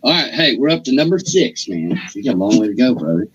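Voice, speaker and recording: A man's voice: mid-range voice, very animated, fast talker. A man hosting a casual interview, asking long, rambling questions full of restarts and fillers. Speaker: interview host. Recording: dull, lo-fi audio.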